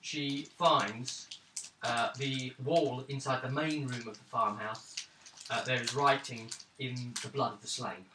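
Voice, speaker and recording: A man acting as game master, narrating a tabletop roleplaying game in a halting, thinking-aloud way. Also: mid-range voice, steady tone. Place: indoors, around a gaming table. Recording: roomy, distant mic, hissy background noise.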